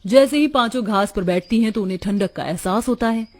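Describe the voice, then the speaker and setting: A woman's voice: mid-range voice, a little fast, a little mellow. A woman narrator reading a scripted cartoon story.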